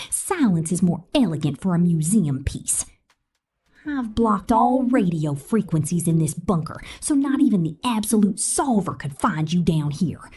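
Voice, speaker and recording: A woman's voice: on the low side, warm tone, breathy edge, exaggerated voice. A woman voicing a sadistic, cool-headed female inventor in an ASMR roleplay, whispering breathily with a Southern US accent and teasing the listener as "sugar".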